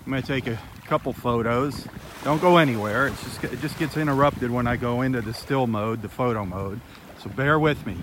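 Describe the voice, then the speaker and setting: A man's voice: medium pitch, brisk. A man hosting a casual live nature vlog in an easygoing, chatty, marveling way. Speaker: host of a live beach walk. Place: outdoors on a sandbar at a beach. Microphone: handheld phone camera. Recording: noisy outdoor audio with lapping water.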